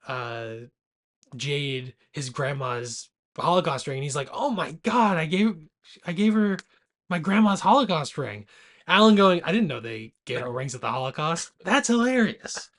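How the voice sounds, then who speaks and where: A man's voice: a little high, expressive, measured pace, a bit bright. A man co-hosting a movie podcast, chatting casually in a loose, rowdy, irreverent way, a little tipsy from beer. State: tipsy after a couple of beers. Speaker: podcast co-host.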